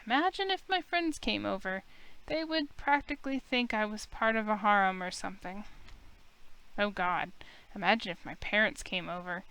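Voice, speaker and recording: A woman's voice: medium pitch, normal speed, sharp tone, smooth, rough recording. A woman reading a visual novel aloud in the first person, acting out the heroine's lines.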